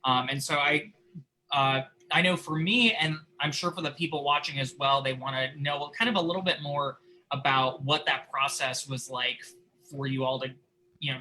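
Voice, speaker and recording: A man hosting an informal post-show discussion, talking in halting, repeated phrases. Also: medium pitch, pushed and bright, low-quality recording. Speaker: host and moderator.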